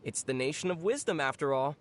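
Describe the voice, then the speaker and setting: A young adult actor playing a calm, gently teasing traveler hero in scripted video game dialogue. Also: mid-range voice, a little fast, a little hiss or hum, lightly acted. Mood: calm, informative.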